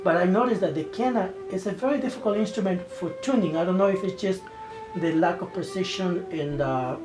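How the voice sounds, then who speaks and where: A man's voice: sits high, brisk. Spanish-native man explaining, distant, music behind. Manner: eager, explanatory, restarts words mid-phrase. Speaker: quena player. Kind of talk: documentary explanation.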